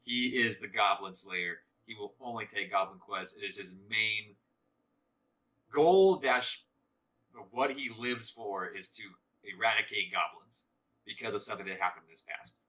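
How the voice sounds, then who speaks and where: A man with a lowish voice that is strikingly bright and forceful, chatting casually while he plays a game. He talks slowly and haltingly, restarting his sentences as he goes. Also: low-quality recording. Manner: drawn-out, halting delivery with many restarts. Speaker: co-host of a gaming channel. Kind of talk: casual chat while gaming.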